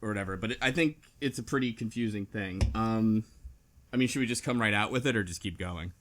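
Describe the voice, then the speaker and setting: A man's voice: on the low side, fairly quick, fairly bright tone, soft background music. A man hosting a casual book club podcast, talking in a rambling, self-correcting way. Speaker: podcast host.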